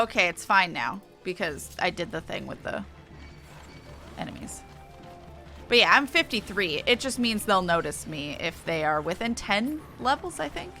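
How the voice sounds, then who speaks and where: A woman's voice: medium pitch, sharp tone. A woman game streamer giving casual, playful commentary as she plays.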